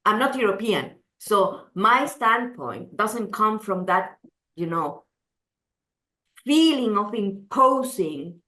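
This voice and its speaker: A woman's voice: medium pitch, very animated, slow pace, bright, forceful tone. A woman from Chile, speaking English as an interview guest, answering earnestly with frequent restarts and "you know". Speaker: gender adviser, interview guest. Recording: roomy echo.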